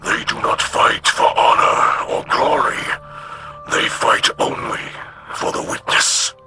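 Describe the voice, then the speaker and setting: An actor playing a grave, honorable alien warrior in a video game, speaking formally in a breathy, growling rasp. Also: male voice, medium pitch, steady tone, slow pace, bright, forceful tone. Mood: grave, regretful. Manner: solemn, formal speech without contractions. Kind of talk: scripted video game dialogue. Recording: music behind the voice.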